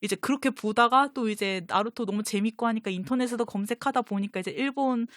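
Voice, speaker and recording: A woman's voice: fairly high pitch, fairly quick, a bit bright, no echo, clean studio sound. A woman chatting casually and enthusiastically as a fan guest in an interview.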